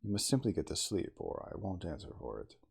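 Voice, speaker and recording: A man voicing a gruff, evasive man in an ASMR storybook reading, brusque and dismissive yet placating. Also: deep voice, very animated, a little fast, exaggerated voice. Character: gruff, evasive man soothing a rattled guest.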